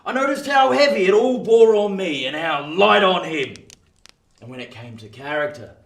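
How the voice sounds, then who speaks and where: An actor playing a rough old ex-convict in a radio play, with a working-class English accent, telling his past in an emphatic, colloquial way. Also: a little high, a bit bright. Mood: bitter indignation. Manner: colloquial, emphatic storytelling that swings into indignation. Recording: roomy, distant from the mic.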